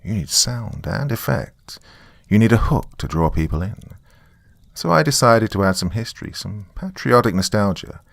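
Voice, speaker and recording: A very low, creaky male voice, recorded dry and close. A man acts out a jaded, middle-aged small-town narrator who confides his horror story in a conversational, first-person way. His delivery is sardonic and matter-of-fact, full of dry asides. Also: animated, normal speed, no room sound. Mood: wry, confiding.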